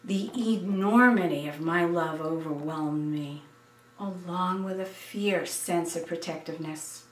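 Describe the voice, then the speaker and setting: Slow-paced older American woman reading memoir. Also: fairly low pitch. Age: 70s. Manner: expressive, deliberate, dramatic storytelling. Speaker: essayist reading her memoir. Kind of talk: scripted personal narration. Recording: roomy, distant microphone.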